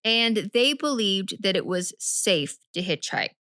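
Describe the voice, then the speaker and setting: A woman's voice: medium pitch, animated, unhurried, bright, forceful tone, no room sound, clean studio sound. A woman co-hosting a true-crime podcast, recapping a case in a chatty, rambling way and often correcting herself. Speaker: podcast co-host.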